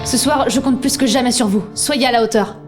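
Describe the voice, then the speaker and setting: A woman plays the assured young leader of a band of thieves in an audio drama. Her voice is on the high side and directive, with music clearly behind it. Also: fairly quick, lightly acted. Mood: commanding. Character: confident young female leader of a thief crew. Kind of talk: French audio drama acting.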